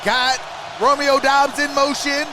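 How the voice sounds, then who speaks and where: A man's voice: extremely high pitch, level tone, slow pace, noisy background. A man hosting a sports livestream, giving live play-by-play and partisan fan reaction while talking to his viewers.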